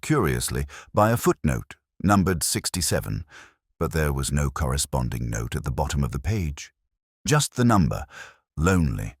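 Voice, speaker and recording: A man playing a middle-aged detective who narrates a mystery in a measured, wry, reflective and literary manner. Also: rumbling low, husky, dry recording, clean studio sound, exaggerated voice. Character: world-weary, wry, literary English male detective narrating his case. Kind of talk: scripted first-person mystery narration.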